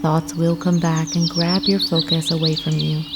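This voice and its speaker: A woman narrating a guided meditation, giving gentle, soothing instructions with unhurried pauses. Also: sits low, little pitch movement, loud music behind the voice. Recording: clear nature ambience with birdsong. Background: birdsong and nature ambience.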